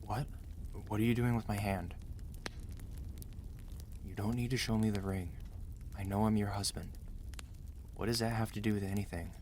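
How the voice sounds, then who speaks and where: A man playing a protective, gentle but commanding mafia boss husband in an intimate, earnest ASMR romance roleplay, speaking tenderly and steadily to the listener. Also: fairly low pitch, steady tone, normal speed, long pauses, slight background noise, natural voice. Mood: exasperated.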